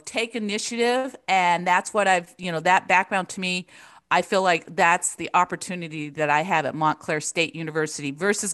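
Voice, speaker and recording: Low-voiced woman on a video call. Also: fairly bright tone, dry recording. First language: Japanese. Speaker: professor, interview guest. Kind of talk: conversational interview answers. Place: home living room. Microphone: video call with desk mic.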